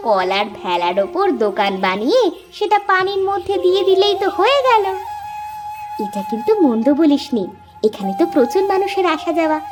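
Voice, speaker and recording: A woman voicing a feisty, enterprising village wife in a cartoon, with an assertive, expressive manner. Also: extremely high pitch. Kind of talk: Bengali moral-story cartoon acting.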